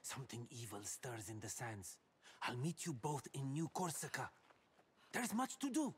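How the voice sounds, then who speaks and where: An actor voicing a wise, grave male elder sage in a video game, speaking in a measured, solemn way. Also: medium pitch, lively intonation, breathy edge. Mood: impressed, urgent. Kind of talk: video game character voice acting.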